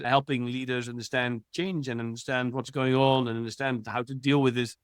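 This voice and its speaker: A man from the Netherlands, answering interview questions in a lively, talkative way. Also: medium pitch, no echo, clean studio sound. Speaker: guest, futurist.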